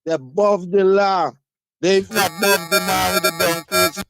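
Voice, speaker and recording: A man's voice: sits high, fairly even intonation, moderate pace, vocal fry, loud music behind the voice. A man co-hosting a casual chat, speaking bluntly and outspokenly.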